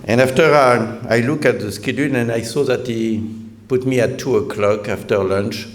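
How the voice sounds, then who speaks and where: A middle-aged man with a French accent, a priest giving a lecture-style sermon at a conference, measured and halting with frequent restarts and dry, self-deprecating humor. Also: medium pitch, a little hiss or hum.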